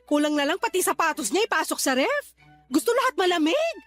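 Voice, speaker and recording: A woman's voice: extremely high pitch, sing-song, fairly quick, fairly bright tone, faint music behind, full character voice. A woman acting a joking, easily riled working-class mother in a Tagalog radio drama, with a Filipino accent and a teasing, exclamatory way of talking. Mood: teasing, grumbling.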